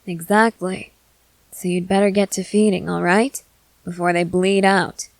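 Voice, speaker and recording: A woman's voice: fairly low pitch, flat delivery, measured pace, no echo, low-quality recording. A woman playing a confident, experienced vampire who coaxes and reassures in a matter-of-fact way, in an ASMR roleplay. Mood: brisk, assured. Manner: matter-of-fact, coaxing, assured.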